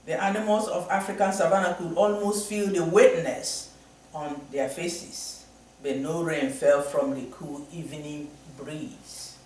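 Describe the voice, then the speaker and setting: A man reads a storybook folktale aloud in a slow, deliberate way. The recording is very echoey and picked up from a distance. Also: a little high, fairly even intonation, darkish tone. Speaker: storybook reader. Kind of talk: storybook narration. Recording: very echoey room, from far off.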